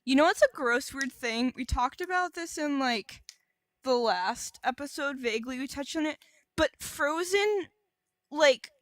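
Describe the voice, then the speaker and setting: A woman's voice: high-pitched, expressive, unhurried, fairly bright tone, no room sound. A woman co-hosting a podcast in casual comedic banter, playful and wry. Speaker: podcast co-host.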